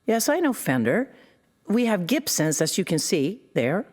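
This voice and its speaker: An actress playing an unhurried guitar shop keeper, speaking English in an audio drama. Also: female voice, medium pitch, big pitch swings, darkish tone. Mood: calm, obliging. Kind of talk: naturalistic audio drama acting.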